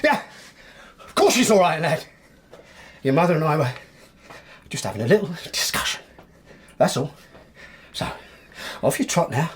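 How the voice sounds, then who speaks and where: Pompous, volatile English husband. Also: male voice, a little high, moderate pace, long pauses. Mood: forced calm. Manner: pompous, blustering, excitable. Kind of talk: radio drama acting.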